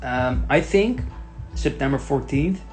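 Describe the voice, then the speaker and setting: A young man from the Netherlands with a Dutch accent, hosting a casual tech Q&A with many pauses, ums and restarts. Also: mid-range voice, expressive, soft background music. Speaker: tech channel host. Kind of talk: casual Q&A monologue.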